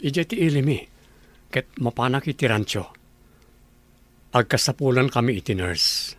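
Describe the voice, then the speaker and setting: A man's voice: medium pitch, normal speed, no room sound, lightly acted. A man voicing a polite ranch owner who hires a nurse for his ranch. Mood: courteous. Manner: calm, courteous. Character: courteous wealthy ranch owner.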